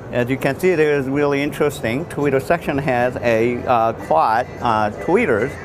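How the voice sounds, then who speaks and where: A man who is not a native English speaker gives an unscripted technical product demonstration as a designer, in a halting, repetitive way with frequent false starts. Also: medium pitch. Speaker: loudspeaker designer presenting his product. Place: trade show exhibition space. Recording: noisy trade show floor recording.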